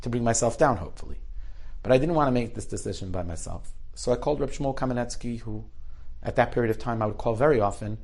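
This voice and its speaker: Animated guy telling spiritual stories, middle-aged, acts out the quotes, lots of restarts. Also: medium pitch, quick pace. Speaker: rabbi telling inspirational stories. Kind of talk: spoken inspirational storytelling.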